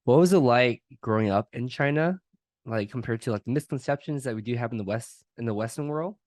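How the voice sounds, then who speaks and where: A man's voice: medium pitch, fairly quick, a little mellow, dry recording, clean studio sound. A man hosting a podcast, asking questions casually with a lot of "like" fillers. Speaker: podcast host.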